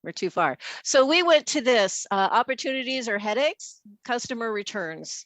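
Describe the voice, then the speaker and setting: A woman's voice: on the high side, animated, moderate pace, fairly bright tone, no room sound. An older woman presenting casually on an online call as a guest consultant, with an informal, rambling way of talking. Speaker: featured guest, packaging engineer and consultant.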